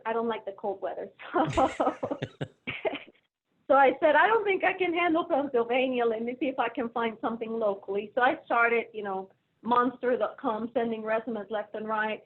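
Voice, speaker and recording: Woman, Colombian accent, talkative, self-deprecating, slightly high pitch, tinny video-call audio. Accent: Colombian Spanish. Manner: chatty, self-deprecating, laughs easily. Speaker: guest, finance executive. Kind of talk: casual remote interview. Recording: phone-like narrow audio over video call.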